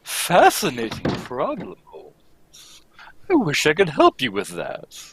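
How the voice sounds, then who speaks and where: A man playing a fussy, eager, loyal gentleman sidekick in a radio mystery, polite, exclamatory and inquisitive. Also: high voice, huge pitch swings, takes it slowly, creaky, a little hiss or hum, heavily acted. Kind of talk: old-time radio mystery acting, read live.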